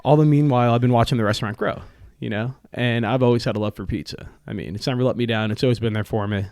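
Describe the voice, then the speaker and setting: A man's voice: medium pitch, fast talker, a little mellow, no echo, studio quality. A young man whose first language is Italian, a podcast guest talking casually with lots of fillers and jokes. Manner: chatty, joking, many fillers. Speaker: podcast guest, restaurant owner. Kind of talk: casual interview talk. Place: podcast studio.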